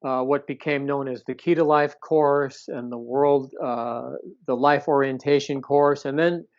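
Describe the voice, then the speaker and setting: A man being interviewed, telling stories from his past conversationally, in a halting way with repeated words and rephrasing. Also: medium pitch, fairly even intonation. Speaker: interview guest. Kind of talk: conversational recounting of past experiences. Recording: dull, lo-fi sound.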